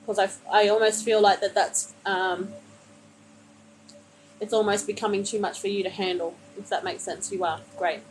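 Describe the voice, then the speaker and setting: Gentle woman giving a tarot reading. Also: mid-range voice, level tone, moderate pace, soft background music, poor audio. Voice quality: gentle, soothing, calls listener honey. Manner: meandering, many fillers and restarts. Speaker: tarot card reader. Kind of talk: live-streamed card reading to a viewer.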